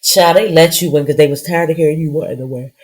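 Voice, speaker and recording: A woman co-hosting a podcast, her voice husky and congested from a stuffy nose, chatting casually with sassy comebacks. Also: deep voice, fast, over a noisy crowd. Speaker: podcast co-host. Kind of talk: casual chat.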